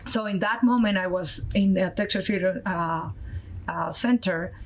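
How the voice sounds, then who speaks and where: A woman from Colombia with a Colombian Spanish accent, a Spanish native speaker, telling her story earnestly as a podcast guest, pausing often with fillers. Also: medium pitch, rough recording. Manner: earnest, halting, many fillers. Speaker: guest, nonprofit founder. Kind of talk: podcast interview, personal story.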